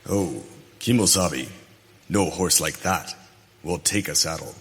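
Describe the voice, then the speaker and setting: A man playing a loyal, watchful western sidekick in radio theater, with measured, formal, simple phrasing. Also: low voice, fairly bright tone. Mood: amazed. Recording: reverberant hall sound.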